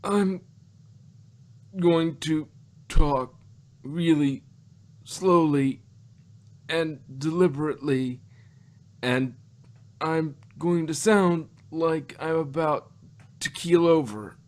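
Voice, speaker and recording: A man doing a mock impression of a grandiose ham actor, speaking slowly and deliberately with pompous effort. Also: fairly high pitch, pitched above the performer's own voice. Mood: pompous, laboured.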